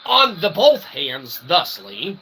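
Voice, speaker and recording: A man's voice: a little high, wildly swooping pitch, takes it slowly, bright, forceful tone. A man hosting a comedy show with a theatrical showman's delivery, rambling in wordy, mock-formal phrases and repeated catchphrases. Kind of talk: rambling comic monologue to an audience. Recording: clearly noisy recording.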